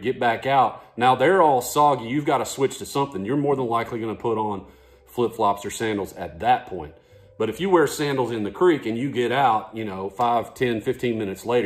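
A man in his forties talking casually to camera as a vlog host, in a folksy, conversational way, recorded in a living room. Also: on the low side. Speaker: fishing vlogger, host.

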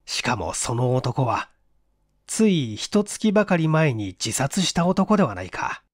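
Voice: mid-range voice, very animated, measured pace, no room sound, studio quality. A stage performer narrating a literary mystery story in a dramatic audiobook style.

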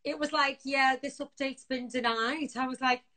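Bright, husky-voiced woman on a phone. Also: high-pitched, fairly even intonation, moderate pace. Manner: chatty, laughs through her stories. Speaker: guest, pop singer. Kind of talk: casual video-call interview. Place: room indoors at home. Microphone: phone held close to her face.

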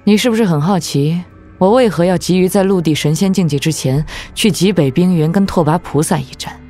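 A woman playing a proud, formidable martial-arts demon lord in an audio drama, with an imperious, measured delivery. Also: lowish voice, warm tone, faint music behind, close to an everyday voice. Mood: grave, cool.

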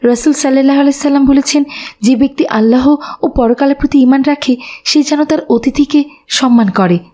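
An earnest, pious little cartoon girl voiced by a woman, very high in pitch. She speaks dutifully and righteously, as if teaching right from wrong. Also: brisk, mellow, natural voice. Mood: reproachful, earnest. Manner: earnest, moralizing. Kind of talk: children's cartoon voice acting.

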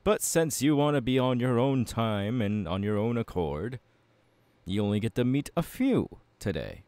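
A man playing a confident, fiery anime swordsman mentor in an ASMR audio roleplay, talking to the listener with measured, dramatic pauses. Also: medium pitch, expressive, a little mellow, dry recording, lightly acted. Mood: welcoming, instructive. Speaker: voice actor.

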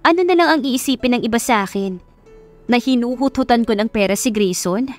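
A woman voicing a gentle young wife and dutiful daughter, apologetic and earnest, in a romantic audio story. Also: on the high side, expressive, fairly quick, soft background music, close to an everyday voice. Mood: pleading, firm.

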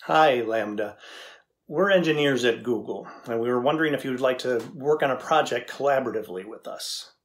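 A curious, middle-aged tech engineer played by a man in a scripted dramatization. He has an average-pitched voice and asks questions in a matter-of-fact, probing way, with a skeptical edge. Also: a little fast, natural voice. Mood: polite, businesslike. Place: indoors, plain backdrop.

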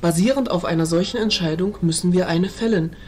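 A woman playing a gentle, wise fairy noblewoman, speaking softly and solemnly in a fantasy audio drama. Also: lowish voice, a little hiss or hum, lightly acted. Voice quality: soft, gentle. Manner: measured, solemn, courteous.

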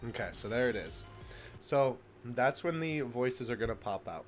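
Low-pitched man chatting, music behind. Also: lively intonation, moderate pace. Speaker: host. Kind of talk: casual gaming news chat.

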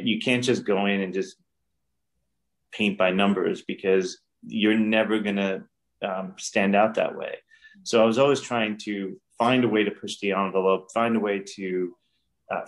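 A middle-aged man speaks in a lowish, unhurried voice, reflecting earnestly and often restarting his sentences. He is talking from a room at home over a webcam call. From New York. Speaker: guest director. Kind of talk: casual interview. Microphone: video call webcam.

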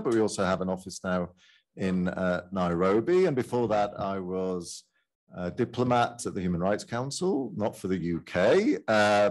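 A man with a very low voice introduces himself to a panel in an easygoing, wry way. He speaks into a table microphone in a large conference room. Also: a little mellow. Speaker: panelist from a think tank. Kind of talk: casual self-introduction.

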